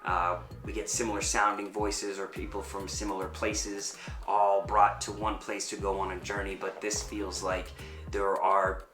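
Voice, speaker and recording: Low-voiced man in a roomy, echoey space. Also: level tone, unhurried. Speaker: performer and fight choreographer. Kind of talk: reflective interview answers to camera. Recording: roomy echo with music underneath. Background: background music.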